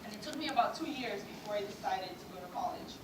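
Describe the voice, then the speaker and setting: A woman's voice: medium pitch, lively intonation, fast talker. A woman in her twenties from Cuba, whose first language is Spanish, gives an earnest award speech in English with a Cuban Spanish accent. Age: 20s. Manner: earnest, with light self-deprecating laughs. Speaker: student award speaker. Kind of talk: prepared acceptance speech. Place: large event hall. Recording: echoey hall recorded from far off, noisy.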